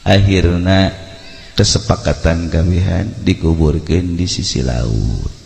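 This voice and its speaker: A man with a Sundanese accent preaching as a storyteller, humorous and theatrical, acting out dialogue and swinging between hushed and loud delivery. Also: low-pitched, takes it slowly, creaky, faint music behind. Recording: echoey hall with long reverb.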